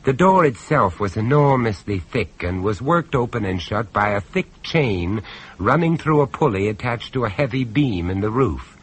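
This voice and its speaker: An actor playing the male narrator of a radio horror tale, measured, urbane and ominous. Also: lowish voice, expressive, slightly rough.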